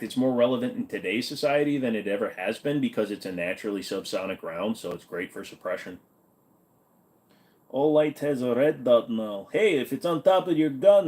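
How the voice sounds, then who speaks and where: Brief: male streamer, mid-pitched, offhand and blunt, cracks jokes, swears casually. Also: darkish tone. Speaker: host answering viewer questions. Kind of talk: casual livestream Q&A.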